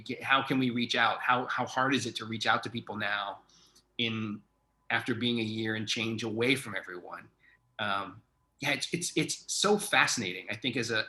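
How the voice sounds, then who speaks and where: Bright-voiced man hosting a casual interview. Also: mid-range voice. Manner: effusive, rambling, thinking aloud. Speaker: interviewer, theatre artistic director.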